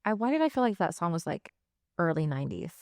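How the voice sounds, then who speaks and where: Lowish-voiced woman in her thirties. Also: sing-song, quick pace, no room sound, studio quality. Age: 30s. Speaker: podcast co-host. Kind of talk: casual chat.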